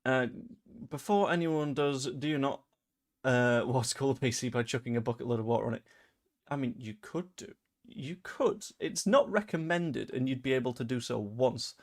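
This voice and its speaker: Young man, softened Yorkshire accent, mid-pitched, rambling live-stream chat with dry asides. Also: normal speed. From Leeds, England. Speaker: fan channel host. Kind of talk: casual live-stream chat reading comments.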